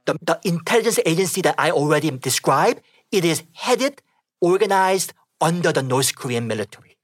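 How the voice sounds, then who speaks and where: A man's voice: a little high, expressive, brisk, no echo. A man interpreting into English, speaking formally and carefully. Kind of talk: consecutive interpretation.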